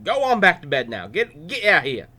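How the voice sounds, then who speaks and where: A cranky old priest in a children's Bible story, woken from sleep and short of patience. A man puts him on, pitching his voice up and getting louder as he scolds firmly. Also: huge pitch swings, normal speed, full character voice. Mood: irritated. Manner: firm, scolding. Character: old, tired, grumpy male priest.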